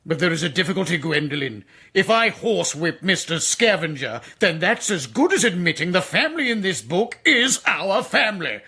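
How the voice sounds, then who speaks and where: A pompous English duke for radio drama, blustering and easily outraged. His voice is fairly high for a man, hard-edged and forceful, with an aristocratic way of exclaiming. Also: sing-song, normal speed, gravelly, few pauses, husky, heavily acted. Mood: stern, suspicious. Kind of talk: broadcast radio comedy-drama acting.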